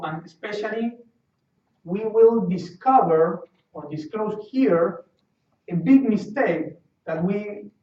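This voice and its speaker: A fairly high-voiced man with an Argentine accent gives a technical talk, stopping and repeating words as he hunts for them. He sounds far from the mic in a roomy space. The sound is dull and muffled. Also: a little mellow. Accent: Argentine Spanish. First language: Spanish. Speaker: guest speaker. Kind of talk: technical presentation. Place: meeting room.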